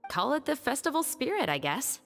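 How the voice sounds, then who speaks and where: An actress playing a confident, reserved young patrol captain in a video game, matter-of-fact and slyly persuasive. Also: female voice, sits high, big pitch swings, brisk, creaky, a bit bright, big-room sound, faint music behind, exaggerated voice. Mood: cool, wry. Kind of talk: English video game voice acting.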